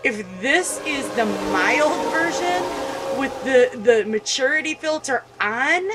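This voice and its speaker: A woman's voice: high voice, animated, slow, noisy background. A woman hosting a gaming video, reading a visual novel aloud and commenting with emotional, indignant feeling.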